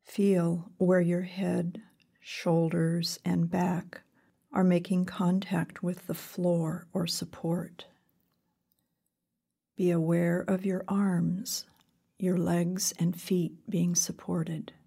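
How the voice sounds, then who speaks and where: A woman leading a guided meditation in a calm, gentle, unhurried way with long pauses, her voice soft and breathy. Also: on the low side. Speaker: meditation teacher. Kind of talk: guided body scan meditation.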